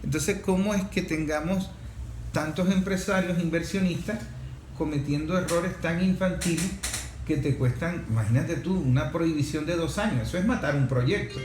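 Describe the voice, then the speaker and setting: A man's voice: fairly high pitch, moderate pace, a little mellow. A man hosting an interview, putting points and questions in an emphatic, rhetorical way. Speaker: interview host. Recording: echoey room, faint noise.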